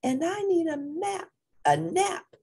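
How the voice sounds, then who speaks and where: A woman's voice: very high voice, sing-song, slow, warm tone, voice pushed up, exaggerated voice. A woman voicing a worried, frazzled mother Siamese cat who wails and scolds in a storybook. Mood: weary, baffled. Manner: wailing, groaning, exclamatory delivery.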